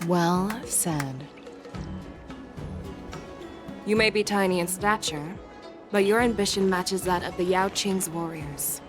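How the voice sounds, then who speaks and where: Casting: woman as a commanding game warrior general, lower register, wry, self-assured, music behind. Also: measured pace, close to an everyday voice. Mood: approving, teasing. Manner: composed, assured, teasing. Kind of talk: acted game story dialogue.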